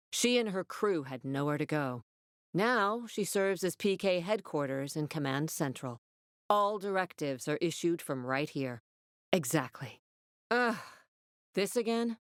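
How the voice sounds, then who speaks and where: Tough female military officer, low voice, blunt, commanding, no-nonsense, video game dialogue, close dry sound. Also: clean tone, no room sound.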